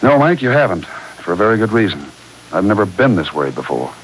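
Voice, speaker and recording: An actor playing a steady, shrewd cowboy hero, firm and earnest, in a 1940s radio Western. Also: male voice, on the low side, brisk. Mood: worried, earnest. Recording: hissy, noisy 1940s radio recording.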